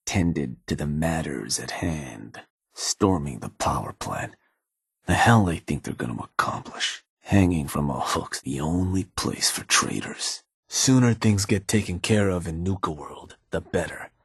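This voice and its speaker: An actor playing a shrewd, laid-back raider advisor to a gang boss in a video game, with a casual, deferential manner and a husky voice. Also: male voice, low-pitched, animated, unhurried, dry recording, clean studio sound, heavily acted. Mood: businesslike. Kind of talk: video game character dialogue.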